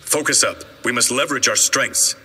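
An actor playing a grave superhero commander in a video game, formal and commanding. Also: male voice, mid-range voice, moderate pace, pushed and bright, husky, full character voice. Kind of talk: video game character acting.

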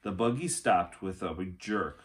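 A man, reading a children's book aloud as expressive narration. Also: low voice, measured pace. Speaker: read-aloud storyteller. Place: small furnished room at home, indoors.

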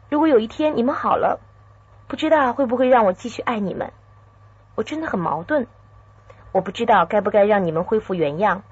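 An actress playing a secretive, troubled but tender young woman in a radio drama, with a husky, breathy voice. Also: medium pitch, darkish tone. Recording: dry, slightly dull studio sound.

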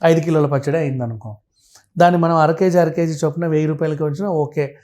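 A man's voice: a little high, steady tone, fast talker, mellow. A man giving a blunt, conversational explanation as a commentator, often checking that the listener follows. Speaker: commentator guest. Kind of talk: animated conversational explanation with acted quotes.

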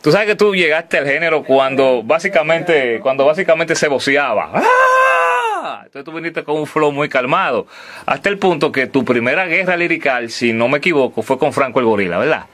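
A man's voice: fairly high pitch, very animated, normal speed, a bit bright. A man hosting an interview, welcoming a guest and asking questions with short acknowledgments. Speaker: interview host.

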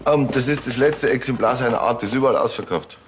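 An actor playing a chatty, shifty small-time tinkerer in a TV crime comedy, rambling and eager. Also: male voice, medium pitch, fast, slight background noise, lightly acted. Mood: salesman-like.

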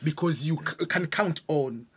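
Husky Tanzanian man asking questions. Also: on the high side. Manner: unhurried, questioning, restarts mid-sentence. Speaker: interviewer, host. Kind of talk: casual interview questions. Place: small home room with bookshelves, indoors. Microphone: desk microphone on stand.

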